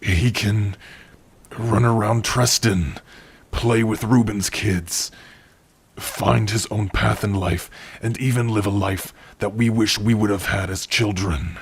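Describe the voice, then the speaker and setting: Orc blacksmith played by a man for ASMR roleplay: tender and proud, lowish pitch, very breathy rasp, intimate delivery. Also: fairly even intonation, full character voice. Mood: tender, earnest. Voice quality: husky, breathy. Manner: slow, tender, measured delivery with long pauses. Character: gentle, proud male orc warrior-blacksmith, loving partner. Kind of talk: ASMR roleplay, intimate second-person acting.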